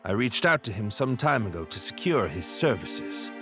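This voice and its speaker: A man's voice: fairly low pitch, sing-song, moderate pace, soft background music. A man playing a self-assured, shrewd royal strategist in a video game, speaking in formal, eloquent phrases. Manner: formal, eloquent, smooth-talking. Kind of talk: dramatic game cutscene acting.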